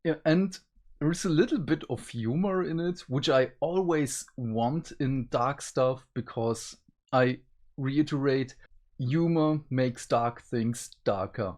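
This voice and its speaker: A man's voice: medium pitch, slow, darkish tone. A man hosting a casual review discussion, talking in a conversational way. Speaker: review show host.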